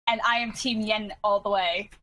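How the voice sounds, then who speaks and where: A woman's voice: medium pitch, steady tone, moderate pace, bright, forceful tone. A woman chatting casually in a podcast group discussion. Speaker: podcast panelist.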